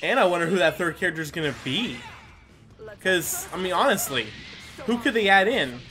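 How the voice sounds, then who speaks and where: Bright-voiced man doing casual game commentary. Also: high-pitched, animated, a little fast. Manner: rambling, self-deprecating, mild swearing. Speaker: gaming video host.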